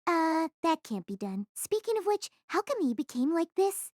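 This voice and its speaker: A woman's voice: very high-pitched, very animated, normal speed, darkish tone, no echo, clean studio sound. A woman playing a cheeky, scheming teenage schoolgirl in an audio drama, breezy and teasing. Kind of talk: anime-style audio drama acting.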